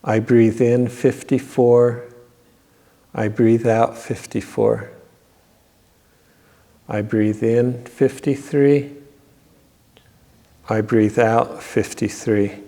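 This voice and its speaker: A man leading a guided yoga nidra meditation in a measured, repetitive, instructing manner. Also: mid-range voice, level tone, dark tone. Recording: long reverberant echo on voice.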